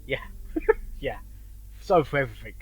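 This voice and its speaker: A man's voice: mid-range voice, sing-song, normal speed, husky. A man giving casual live reaction commentary as a video host, with short interjections and phrases echoed back.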